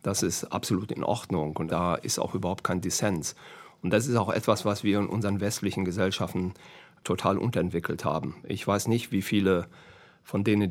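Man, sixties, very low voice; retired military officer as debate guest, insistent and explanatory. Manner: insistent, explanatory, with rhetorical tag questions. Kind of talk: political debate, spontaneous argument.

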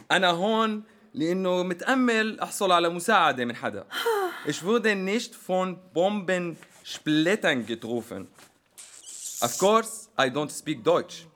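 A man's voice: high voice, fairly bright tone, a little hiss or hum. An actor playing a theatrical, ironic Syrian refugee in his twenties who declaims in a radio play. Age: 20s. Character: self-announcing 29-year-old Syrian refugee, theatrical and ironic.

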